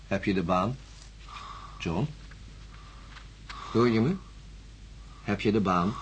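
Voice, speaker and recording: An actor playing a calm, probing doctor in a radio drama, giving measured questions and instructions. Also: male voice, on the low side, a little hiss or hum. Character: calm, probing male doctor supervising a patient.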